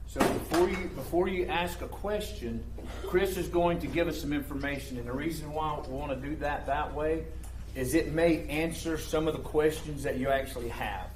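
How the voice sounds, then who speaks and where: A man's voice: a little high, moderate pace. Man, informal and reassuring. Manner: informal, reassuring, repeats himself. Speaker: meeting moderator. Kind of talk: public meeting remarks. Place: town hall meeting room. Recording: echoey room with faint noise.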